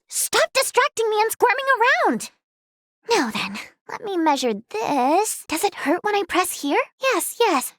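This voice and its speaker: A woman voicing a cheerful, excitable, inquisitive teenage girl in a video game, with a perky, teasing, youthful manner. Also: very high-pitched, dry recording, studio quality, full character voice. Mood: excited, eager. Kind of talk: video game character dialogue.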